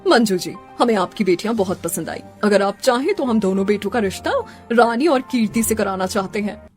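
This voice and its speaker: A woman voicing a warm, dignified middle-aged mother in a Hindi cartoon story, tender and measured. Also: mid-range voice, soft background music, lightly acted. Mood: approving.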